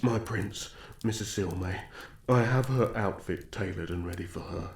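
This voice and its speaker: A low-pitched elf royal servant, played by a man, deferential yet proud of his craft. He speaks with courteous assurance in a fantasy ASMR tale. The echoey recording has clear noise behind it. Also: normal speed, natural voice. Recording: echoey room, noticeable background noise.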